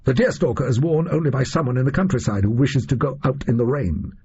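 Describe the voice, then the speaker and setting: A male reader voicing a haughty, analytical Victorian gentleman detective in an audio story, speaking formally and precisely. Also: mid-range voice, quick pace, muffled recording, a little hiss or hum, voice pushed up, close to an everyday voice. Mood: incredulous disdain.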